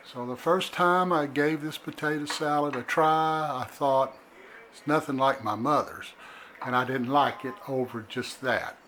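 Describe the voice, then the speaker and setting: An older man, co-host of a home cooking channel, talking in a relaxed, folksy storytelling way. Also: medium pitch, measured pace, a little hiss or hum. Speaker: co-host, husband. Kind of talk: casual chat.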